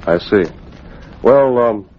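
An actor playing a shrewd, composed boss in an old radio drama, reasoning with measured authority. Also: male voice, mid-range voice, slight background noise, close to an everyday voice. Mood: thoughtful. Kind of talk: 1940s radio drama acting. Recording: dull old radio recording.

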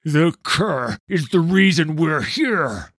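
A man voicing a stern, bitter mage hunter from a fantasy story, rough-voiced, sneering and accusatory. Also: lowish voice, expressive, slow, dry recording, clean studio sound, exaggerated voice. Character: stern, bitter male mage hunter, grieving and menacing interrogator.

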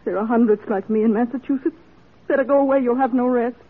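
A performer playing a melancholy, broken woman prisoner in a classic radio drama, speaking plaintively and haltingly. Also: medium pitch, steady tone, husky, natural voice. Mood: hopeless, pleading. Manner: plaintive, halting, archaic rustic phrasing. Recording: tinny, noisy old radio drama recording.

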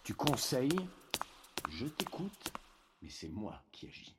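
An actor playing an aging Roman ruler, proud, weary and commanding, in a French audio drama. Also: male voice, medium pitch, wildly swooping pitch, takes it slowly, very noisy. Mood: angry, imperious. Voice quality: husky, rough. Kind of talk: French audio fiction acting.

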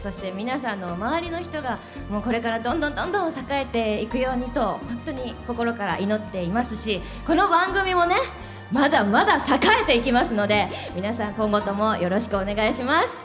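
A woman's voice: on the high side, lively intonation, brisk. A woman giving a thank-you speech from a live event stage. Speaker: cast member of a radio show. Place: public event stage in a shopping complex. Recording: live event PA with music and crowd.

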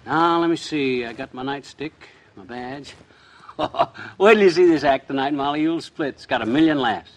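An actor playing a boastful, blustering husband in a folksy, excitable, self-important way, in a 1950s radio comedy. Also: male voice, a little high, normal speed, lightly acted. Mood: self-satisfied. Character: boastful, blustering husband dressed as a policeman for amateur sketch.

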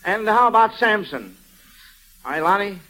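A man's voice: high voice, lively intonation, unhurried, sharp tone, rough recording. A man hosting an old-time radio quiz show, encouraging and affirming as he goes, partly ad-libbing. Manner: encouraging, affirming children's answers.

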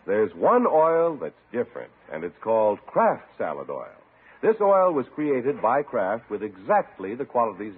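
A man's voice: mid-range voice, breathy edge. Male 1950s radio announcer, polished and emphatic, hugely varied intonation, narrow tinny broadcast with slight noise.

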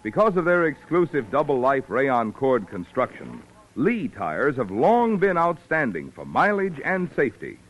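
A man's voice: mid-range voice, huge pitch swings, measured pace, fairly bright tone, rough recording. A man reading a sponsor message as an old-time radio announcer, emphatic and polished. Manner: emphatic, polished sales delivery. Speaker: commercial announcer. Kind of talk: 1940s-50s radio sponsor read.

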